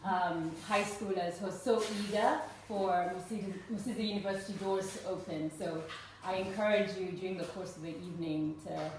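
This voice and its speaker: A woman in her forties from Uganda tells her personal story to a live audience at an event, earnest and warm, with frequent "um" fillers. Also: on the low side, fairly even intonation, moderate pace, hardly any pauses. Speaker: speaker at a fundraising event. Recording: roomy echo, voice from far off, faint noise.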